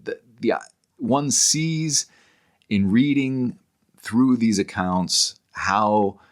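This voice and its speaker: A man speaking as a scholarly interview guest in thoughtful conversation, digressive and halting, often repeating words as he goes. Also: fairly low pitch.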